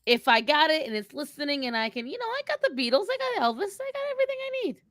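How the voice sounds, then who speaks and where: A sardonic twenty-two-year-old woman, as a voice actress plays her in a live in-character Q&A. Her voice is bright and sits a little high, recorded dry and close. She is blunt and foul-mouthed, with open scorn and sarcasm. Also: big pitch swings, fast, dry recording. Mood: brash, sardonic. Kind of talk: improvised in-character question and answer.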